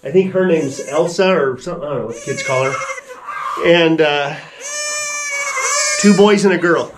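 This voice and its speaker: A man in his forties calmly explaining his goats to camera inside a wooden barn. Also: on the high side, moderate pace, background noise. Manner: relaxed, chatty, matter-of-fact. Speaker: ranch owner and vlogger. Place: wooden barn goat pen.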